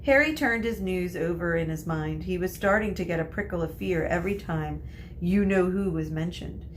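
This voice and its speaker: A woman's voice: lowish voice, normal speed, a little hiss or hum. A woman narrating a storybook aloud in the role of a teacher reading to pupils.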